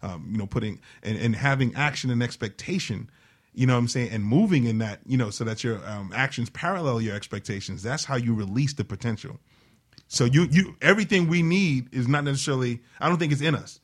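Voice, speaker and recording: A man's voice: mid-range voice, animated, fairly quick. Conversational man with a mid-range voice. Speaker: talk show panelist. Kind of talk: conversational motivational discussion.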